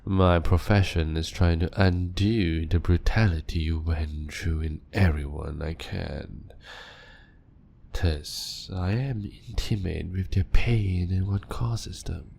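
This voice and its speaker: Man doing an ancient vampire doctor, very low voice, gravelly, slow and soft-spoken, audio roleplay. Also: steady tone, a little hiss or hum, heavily acted. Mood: calm, clinical. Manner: soft-spoken and measured, often whispering. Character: ancient male vampire doctor, calm, clinical, gently controlling.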